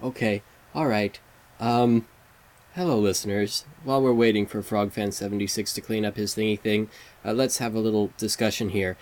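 A man's voice: lowish voice, steady tone, normal speed. A man hosting a fan site interview, polite and earnest, hesitating with fillers. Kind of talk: casual interview.

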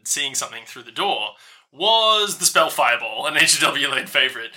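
A man's voice: mid-range voice, normal speed. A man playing a tabletop game, joking and arguing rules with the game master. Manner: joking, animated, argues rules. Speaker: player.

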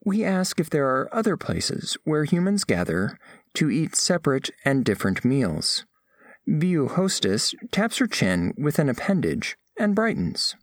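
A formal, curious alien scholar, acted by a man, narrates a story in precise, clinical words. The voice is fairly high and moves animatedly in pitch. The recording is dry and close. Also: wildly swooping pitch, a little slow, no echo, heavily acted. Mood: detached curiosity. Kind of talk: scripted first-person story narration.

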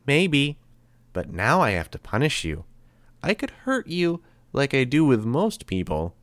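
A man voicing a cruel, calm immortal boy who talks softly and slowly with flat, cheerful menace. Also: mid-range voice, no echo, voice pushed up. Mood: calmly sadistic. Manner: soft, slow, menacing and flatly cheerful. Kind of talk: audiobook character voice.

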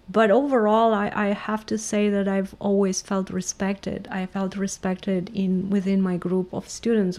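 A middle-aged woman from Romania, a physicist guest giving thoughtful, measured interview answers with frequent restarts. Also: on the low side, creaky, a little mellow.

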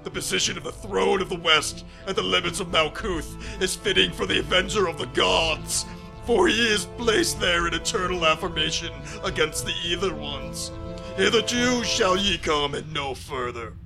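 A man voicing a dark, solemn occult priest in a grave, declamatory dramatic reading. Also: sits high, moderate pace, vocal fry, music in the background, voice pushed up, poor audio. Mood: thunderous, avenging. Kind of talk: dramatic reading over backing music.

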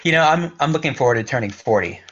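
A man's voice: mid-range voice, lively intonation, fast, a bit bright, breathy edge, dull audio. A man in his late thirties co-hosting a casual podcast, easygoing with dry humor. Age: 30s. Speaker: podcast co-host. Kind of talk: casual chat.

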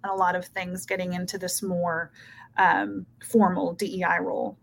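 A woman's voice: fairly low pitch, level tone, moderate pace, creaky, slight background noise. A woman in her thirties from Peru, talking earnestly as an interview guest and often checking in with "right?". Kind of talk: casual interview talk.

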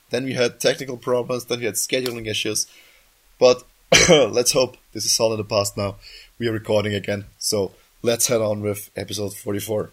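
A sick man hosts a casual podcast, breaking off to cough. He rambles and keeps restarting and correcting himself mid-thought. His voice sits at an ordinary middle pitch. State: sick, coughing, voice just back. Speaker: podcast host.

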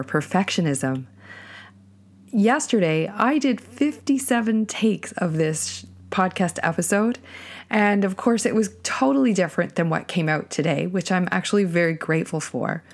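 Low-voiced woman podcaster with a cold. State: has a cold, voice lowered. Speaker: podcast host. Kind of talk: personal reflective podcast monologue.

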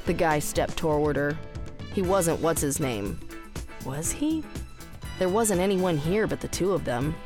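Low-pitched woman narrating fiction. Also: animated, unhurried. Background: music.

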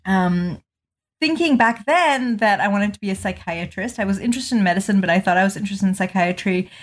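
A woman's voice: mid-range voice, brisk. A middle-aged woman from Malawi, a pediatrician answering interview questions articulately, with frequent ums. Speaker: pediatrician guest.

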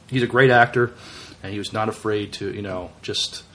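A man co-hosting a podcast, chatting casually and haltingly, often repeating words as he searches for phrasing. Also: fairly low pitch, fairly bright tone, low-quality recording. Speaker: podcast co-host. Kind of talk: casual chat reviewing a TV series.

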